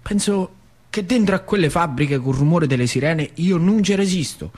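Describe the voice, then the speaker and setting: A man's voice: on the high side, animated, normal speed, a little mellow. A man with a central Italian accent from Lazio, telling a story as a colloquial, vivid theatrical monologue. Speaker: stage actor narrating.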